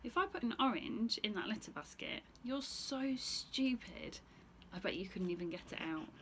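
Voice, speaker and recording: A woman's voice: medium pitch, lively intonation, normal speed, fairly bright tone, slight background noise. A woman voicing a clever, cheeky young boy in a children's storybook, taunting and daring.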